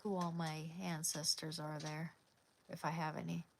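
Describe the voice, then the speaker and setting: A woman's voice: low-pitched, little pitch movement, normal speed, vocal fry, a little hiss or hum. A woman from Oregon hosting a casual livestream, chatting easily with viewers and answering their comments by name. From Oregon, USA. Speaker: livestream host. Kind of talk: casual live chat reading comments.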